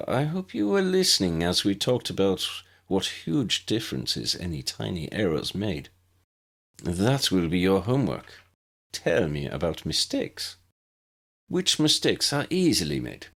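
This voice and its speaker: A man voicing an elegant, charming male Italian potions professor in an audiobook, playful and courteous, with a warm, musical delivery. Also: low-pitched, very animated, a little slow, husky, exaggerated voice. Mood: teacherly. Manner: theatrical, courteous, flourishing.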